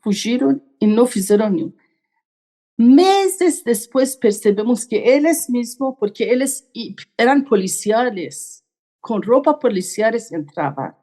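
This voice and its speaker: A woman speaks as a podcast guest, in a somewhat high voice. She tells her story earnestly, with simple and broken phrases. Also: expressive, measured pace, warm tone. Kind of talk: conversational storytelling.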